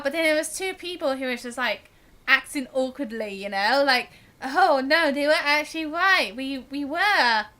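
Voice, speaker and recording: A woman's voice: high-pitched, bright, forceful tone. A woman game streamer giving casual live-stream commentary in a chatty, giggly way. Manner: chatty, giggly, mimics the characters.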